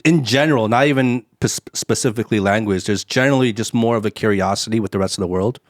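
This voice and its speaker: A man's voice: lowish voice, fast talker, dry recording, studio quality. A man from the United States hosting a podcast, talking in a candid, rambling way and swearing freely. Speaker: podcast host. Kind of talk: casual podcast chat.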